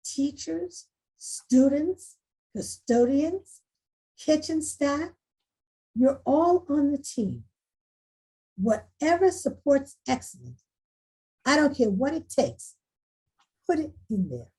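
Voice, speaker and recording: A woman's voice: high voice, big pitch swings, takes it slowly, long pauses, warm tone, exaggerated voice, poor audio. A woman playing an earnest, determined school principal making a rousing speech in a screenplay table read. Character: earnest, determined female school principal rallying staff and students.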